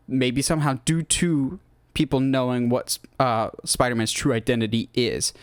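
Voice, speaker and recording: A man's voice: a little high, no echo. A man hosting a podcast, giving casual, opinionated fan commentary on movies in a rambling way that drifts into tangents. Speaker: podcast host.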